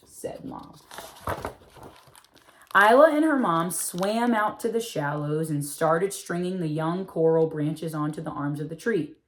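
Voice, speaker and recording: A woman reading a children's picture book aloud, expressive, often slowing and stretching her phrases. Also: low-pitched. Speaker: read-aloud narrator.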